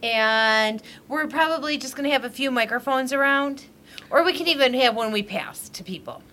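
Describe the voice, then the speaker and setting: This is a middle-aged woman, chatting loosely on a home podcast. She digresses and laughs easily, sounding a little tipsy. Her voice is bright and a touch high, with light background noise. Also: moderate pace. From Michigan, USA. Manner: rambling, chatty, laughs often. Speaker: podcast co-host. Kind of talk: casual chat. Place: at a large dining table at home.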